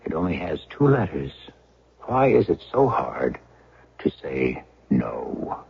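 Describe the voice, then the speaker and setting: A man hosting a 1970s radio mystery show, narrating from a script in a deliberate, theatrical, dramatic manner. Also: a bass voice, very animated, creaky, breathy edge. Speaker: radio drama host and announcer. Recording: dull old radio broadcast, music behind.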